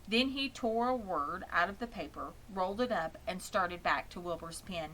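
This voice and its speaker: A woman's voice: on the low side, pushed and bright, poor audio. Woman narrating a children's storybook. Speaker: audiobook reader.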